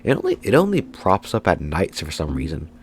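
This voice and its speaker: A man's voice: deep voice, wildly swooping pitch, brisk, slight background noise. A congested man with a cold, giving rambling, self-correcting let's play commentary. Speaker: gaming video host. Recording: game music behind.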